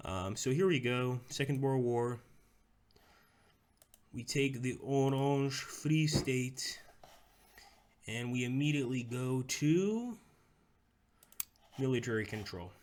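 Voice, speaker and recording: A young man streams a strategy game and rambles as he plays, greeting viewers and talking through each move. His voice sits at an ordinary middle pitch, and the talk is loose and unscripted. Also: slow, pauses often, darkish tone. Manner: rambling, chats with viewers, talks through moves. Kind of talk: casual live-stream game commentary.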